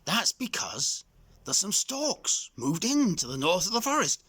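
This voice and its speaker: Man voicing a chatty old storybook crow, lively swoops. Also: sits high, measured pace, slightly rough, no echo, heavily acted. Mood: matter-of-fact, chatty. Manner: repeats phrases, folksy and rambling. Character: chatty, kindly old male crow, rustic villager. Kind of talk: children's storybook character voice.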